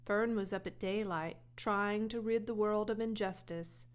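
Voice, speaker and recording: A woman voicing a patient farmer father in a storybook, gently firm and measured, with dry formality. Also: medium pitch, close to an everyday voice. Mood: wry.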